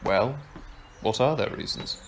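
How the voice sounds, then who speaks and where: Guy doing awkward sarky young man in audio drama, hesitant, medium pitch, slight background noise. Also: measured pace, lightly acted. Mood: sarcastic, defensive. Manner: hesitant, stammering, dry.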